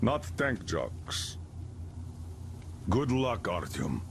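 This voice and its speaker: An actor playing a stern military commander who gives fatherly, gruff orders before a mission. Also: fairly low pitch, big pitch swings, very slow, slight background noise, full character voice. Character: stern older male military colonel. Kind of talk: video game cutscene acting.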